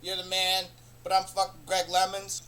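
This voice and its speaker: High, very bright man doing a rambling comedy monologue. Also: normal speed. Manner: rambling, profane, deadpan nonsense with sudden bursts of laughter. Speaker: comedy show host.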